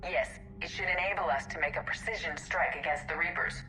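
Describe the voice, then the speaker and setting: A woman's voice: fairly low pitch, a little fast, bright, forceful tone, soft background music, poor audio. An actress voicing a composed female starship artificial intelligence in a video game, precise and matter-of-fact. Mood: composed, advisory.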